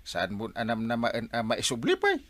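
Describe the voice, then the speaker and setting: A man as a wildly animated Ilocano village captain. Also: mid-range voice, normal speed. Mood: concerned. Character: kindly, excitable village captain who helps everyone. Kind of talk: Filipino radio comedy drama acting.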